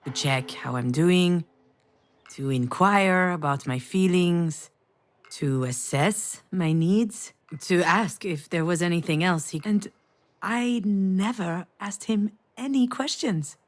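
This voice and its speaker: An actress playing a grieving, self-reproaching woman in a video game drama, speaking in an earnest, reflective, halting way. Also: on the low side. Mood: remorseful. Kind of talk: dramatic visual novel voice acting with inner narration.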